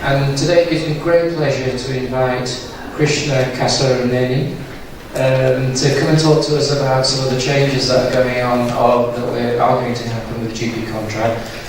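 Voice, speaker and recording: A man chairing an event, introducing the guest speaker in an earnest, slightly halting way. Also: medium pitch, level tone. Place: large dim hotel function room. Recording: echoey room from far off, noisy.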